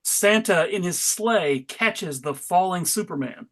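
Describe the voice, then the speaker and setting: A man narrating a live comic book read in a wry, deadpan way. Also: high-pitched, slow pace.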